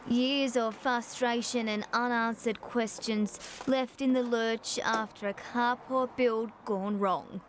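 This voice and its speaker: A woman's voice: a little high, fairly even intonation, slow, few pauses, a little hiss or hum. Woman reporter narrating a news package. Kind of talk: scripted news voice-over.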